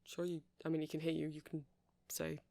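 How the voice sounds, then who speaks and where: A soft-spoken man doing a rambling ASMR roleplay as a shopkeeper, hesitant and apologetic in manner. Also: fairly high pitch, completely flat delivery, fairly quick, creaky, no room sound. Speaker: character performer playing a shopkeeper.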